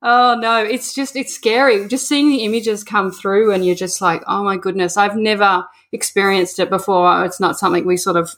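A woman's voice: mid-range voice, level tone, moderate pace, hardly any pauses, fairly bright tone. Brief: woman host, chatty interviewer, loose rambling questions. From Australia. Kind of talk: casual interview.

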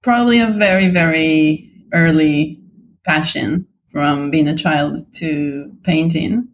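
A woman from Israel, a guest artist answering interview questions in a thoughtful, hesitant way, with many pauses and ums. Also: low voice, slight background noise, low-quality recording.

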